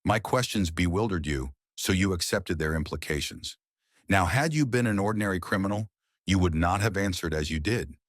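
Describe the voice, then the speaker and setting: A man voicing a shrewd, confident male detective who questions in a sharp, probing, sarcastic way, in an audiobook. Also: low-pitched, expressive, moderate pace, dry recording, studio quality. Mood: probing, accusing. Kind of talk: audiobook character voice.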